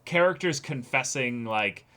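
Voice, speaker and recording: A young man chatting casually as co-host of a reaction show, excitable and emphatic, often repeating phrases. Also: mid-range voice, a little slow. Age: young adult. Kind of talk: casual discussion chat. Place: small indoor room, seated before a backdrop.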